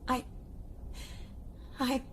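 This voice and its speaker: An actress playing an anguished artificial woman who doubts who she is, fragile and halting, in an audio play. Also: fairly bright tone. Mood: subdued, lost. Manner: halting, fragile, emotionally raw.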